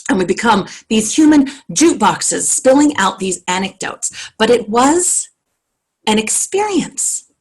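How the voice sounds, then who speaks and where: A woman playing a cultured, wealthy society wife in an intense, reflective stage monologue, speaking emphatically with deliberate pauses. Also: on the high side, very animated, husky, exaggerated voice. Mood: impassioned, reflective. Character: cultured wealthy New York society wife, anguished, impassioned. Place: online video call.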